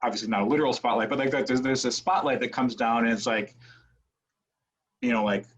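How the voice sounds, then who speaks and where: An American man from Wisconsin talking thoughtfully on a panel, haltingly searching for his words. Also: mid-range voice, fairly even intonation. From Wisconsin, United States. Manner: halting, searching for words, frequent checking "right". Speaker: academic panelist. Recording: dull, muffled audio.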